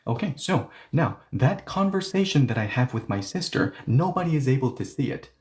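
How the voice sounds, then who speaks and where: A man giving an online language lesson with a mid-range voice, neither high nor low. He explains patiently, leans on "okay, so" and "yeah", and moves between explanations and slow, careful example readings. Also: very animated, a little mellow. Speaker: Korean language teacher.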